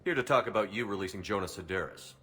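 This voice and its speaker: An actor playing a composed, commanding military commander in a science fiction game, measured and direct. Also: male voice, fairly low pitch, sharp tone, faint music behind. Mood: probing. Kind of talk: video game acting.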